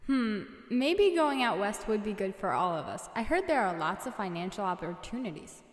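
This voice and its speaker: A woman's voice: medium pitch, very animated, normal speed, close to an everyday voice. A woman playing a practical, hopeful sister in an amateur 1930s-style radio serial. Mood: wondering. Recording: very echoey hall.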